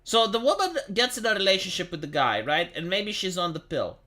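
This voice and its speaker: A man's voice: high-pitched, big pitch swings, a little fast, pushed and bright. A young man from Romania hosting a casual livestream, chatting with his chat and reading articles aloud, with many restarts and the odd swear. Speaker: livestream host.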